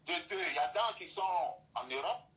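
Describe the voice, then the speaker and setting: A man's voice: high-pitched, animated, a little fast, bright, forceful tone, muffled recording. A man from Côte d'Ivoire, speaking as a guest in an impassioned talk and repeating and restating his phrases for emphasis.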